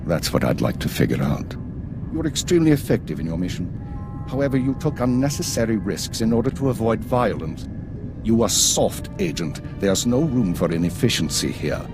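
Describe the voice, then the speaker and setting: An actor playing a stern, weary spymaster in a video game, measured, formal and dry. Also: male voice, on the low side, big pitch swings, music in the background. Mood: stern, probing. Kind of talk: cinematic video game acting.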